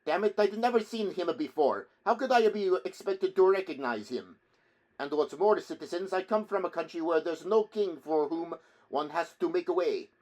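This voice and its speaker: A man voicing a cocky, indignant young Italian man in a storybook reading, loud and declamatory. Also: high-pitched, expressive, moderate pace, voice pushed up, rough recording. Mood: proud, defiant.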